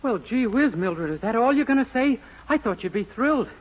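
An actor playing an eager, naive young man in a 1940s radio comedy, boyish, earnest and excitable. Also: very high voice, a little fast, husky, full character voice. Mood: hurt, protesting. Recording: dull, lo-fi 1940s radio recording.

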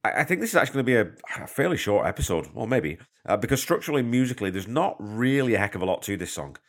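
A man's voice: on the low side, big pitch swings, very fast. A man hosting a podcast from a hotel room on a portable mic, slightly congested from a cold, chatty and self-deprecating as he patiently explains things. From Canada. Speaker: podcast host. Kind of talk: casual podcast monologue.

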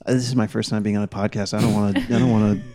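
A young man from Denver, a podcast guest, talking in a casual, halting, joking way. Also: on the low side, little pitch movement, mellow. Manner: halting, self-interrupting, joking.

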